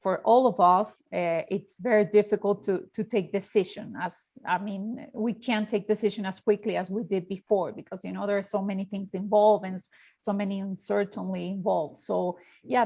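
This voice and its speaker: A woman's voice: medium pitch, fairly even intonation, moderate pace, gravelly, darkish tone. A woman with a Mexican accent and Spanish as her first language, explaining points as an interview guest. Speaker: panel guest, event organizer. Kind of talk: conversational interview.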